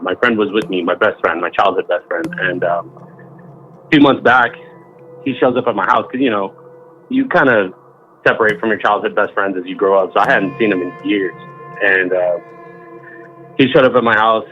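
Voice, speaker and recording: A man in his thirties from Southern California, calling in to tell a story in a laid-back, rambling way. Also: on the low side. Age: 30s. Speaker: caller. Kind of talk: casual phone-in storytelling. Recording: muffled phone line with faint music.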